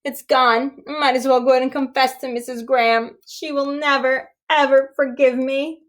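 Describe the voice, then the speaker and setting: A fretful little storybook girl, played by a woman reading aloud, speaks in a high voice. She is whiny and pleading, as if always close to tears. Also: fairly even intonation, moderate pace, close to an everyday voice. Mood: defeated, tearful. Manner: whining, tearful, pleading. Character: anxious, whiny young girl fretting over lost book.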